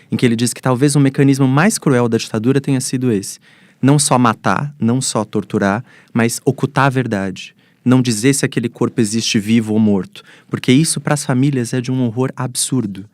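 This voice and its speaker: A Brazilian man gives an explanatory answer as a guest academic. His voice is mid-pitched and recorded very dry, with no room sound. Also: darkish tone, dry recording. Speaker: guest professor. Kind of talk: explanatory panel talk.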